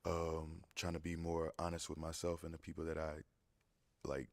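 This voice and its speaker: A man with a very low, even voice answers calmly and earnestly as a contestant on a dating show. The sound is dry and close, with no room around it. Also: normal speed, no room sound.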